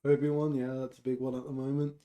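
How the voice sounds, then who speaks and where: A man's voice: medium pitch, level tone, fast talker, dark tone. A man co-hosting a podcast in casual banter, with loose, slangy phrasing. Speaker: podcast co-host.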